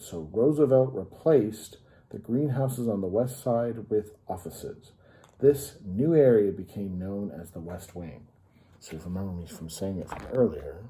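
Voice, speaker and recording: American male storyteller, low-pitched and very mellow, reading to kids with explanatory asides. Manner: explanatory, pausing to add simple clarifications. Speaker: storyteller reading a children's history book aloud. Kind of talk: read-aloud storytelling with informal asides to young listeners.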